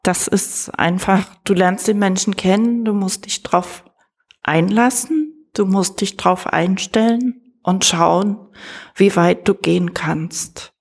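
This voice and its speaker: A woman volunteer answering a question in a thoughtful, measured way. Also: medium pitch, husky. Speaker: volunteer helper.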